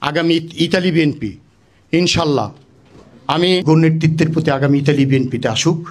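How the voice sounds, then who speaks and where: A man's voice: fairly high pitch, a little fast. A man with a Bangladeshi accent giving a political speech as a party activist at a meeting.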